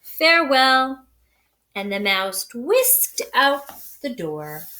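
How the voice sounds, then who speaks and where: A woman reads a children's storybook aloud at a slow pace, with a bright, rather high voice. She leans on words dramatically and talks directly to young listeners. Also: very animated. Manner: addresses young listeners, dramatic word emphasis. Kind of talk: expressive children's read-aloud.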